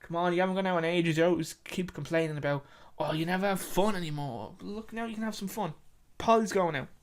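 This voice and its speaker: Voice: medium pitch, fast, lightly acted. A storyteller voicing a sociable young man who pushes and cajoles his friend in a short story. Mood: cajoling. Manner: cajoling, insistent. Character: pushy, sociable young Irish male flatmate.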